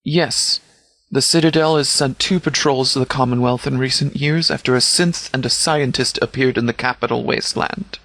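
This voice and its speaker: A man voicing a stern, commanding sheriff who leads a wasteland militia in a video game, speaking in a formal, measured, authoritative way. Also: mid-range voice, fairly even intonation, a bit bright, no room sound, natural voice. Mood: grave, explanatory. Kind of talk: scripted video game dialogue.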